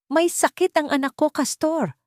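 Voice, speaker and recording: A woman's voice: sits high, fairly even intonation, moderate pace, no echo, clean studio sound, voice pushed up, natural voice. A woman voicing a desperate young mother who pleads through tears, her voice raised and breaking. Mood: pleading.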